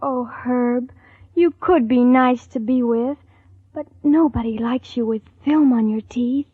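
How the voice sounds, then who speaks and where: High coy young woman in vintage radio ad. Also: unhurried, breathy edge, dry recording. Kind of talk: 1940s radio commercial acting. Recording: dry tinny 1940s radio recording.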